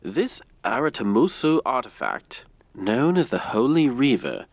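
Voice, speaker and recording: A man's voice: medium pitch, very animated, unhurried, no room sound. A man playing a curious young collector of occult relics in an audio drama, eagerly savouring grisly details. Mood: fascinated.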